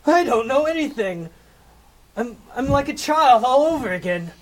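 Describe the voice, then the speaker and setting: A man's voice: extremely high pitch, lively intonation, normal speed, poor audio. A man playing a repentant old miser in a theatrical, emotional style for an amateur comic audio play. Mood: giddy joy. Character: repentant old male miser, desperate then giddily overjoyed.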